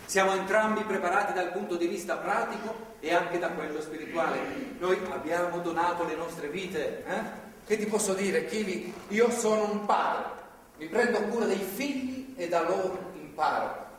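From far off in a reverberant theatre hall comes a zealous, controlling priest. His voice is notably high for a man, measured and paternalistic, preaching with fervour as in a stage play. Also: a bit bright. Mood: earnest, preachy. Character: fervent, controlling anti-abortion priest holding a woman captive. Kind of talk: stage drama acting. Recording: echoey theatre hall, distant mic, faint noise.

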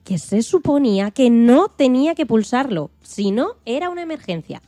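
Woman playing an animated, high-voiced storytelling hen. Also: normal speed, no room sound. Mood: conspiratorial, animated. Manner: expressive, dramatic, playful emphasis. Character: excitable farm hen astronaut telling her own story. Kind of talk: radio play storytelling for children. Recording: music behind the voice.

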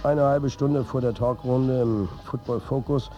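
A man's voice: medium pitch, fairly even intonation, a little slow, mellow, highs cut off, faint music behind, lightly acted. An actor playing a weary, blunt, foul-mouthed football manager who tells his own story in a radio drama. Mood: wry, reflective. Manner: blunt, sweary, colloquial. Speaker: narrator and lead actor. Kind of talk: first-person radio drama narration.

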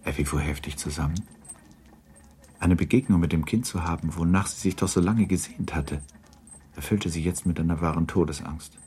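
A man narrating a literary radio drama in a measured, formal storytelling manner. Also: low voice, warm tone, slight background noise.

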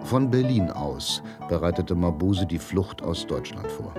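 A man's voice: deep voice, very animated, a little slow. A man narrating a crime story in a radio drama, with sober, scripted literary delivery. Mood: sober, lyrical. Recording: music behind the voice.

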